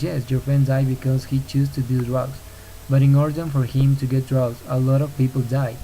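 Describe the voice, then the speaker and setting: Earnest young man from Ecuador, mellow. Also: medium pitch, low-quality recording. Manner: earnest, steady reading with occasional slips and restarts. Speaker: podcaster. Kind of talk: read-aloud argumentative podcast. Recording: faint hiss on a home recording.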